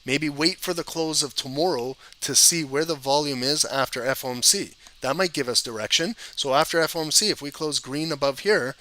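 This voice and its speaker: Bright-voiced man, hoarse from a cold. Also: on the high side, fairly quick, hardly any pauses, low-quality recording. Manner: conversational, addresses viewers directly. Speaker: trading educator. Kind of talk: live-streamed chart analysis.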